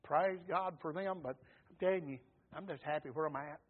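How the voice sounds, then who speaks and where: A man's voice: fairly high pitch, brisk. A man preaching a folksy, emphatic sermon, calling for amens from the congregation. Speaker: pastor. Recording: tinny phone-like recording.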